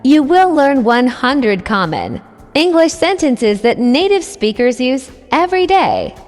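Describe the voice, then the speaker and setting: A high-voiced woman leads a scripted beginner drill, slow and deliberate, saying each phrase twice. Her voice swoops widely up and down. A long reverb trails her words over faint music. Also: big pitch swings, darkish tone. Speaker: English teacher.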